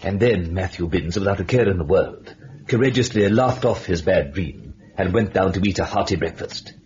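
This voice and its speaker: Actor as low, ominous sardonic storyteller. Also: male voice, moderate pace, a little hiss or hum, natural voice. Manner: theatrical, taunting, ominous. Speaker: narrator. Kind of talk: old radio suspense narration. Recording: dull old radio recording, loud music.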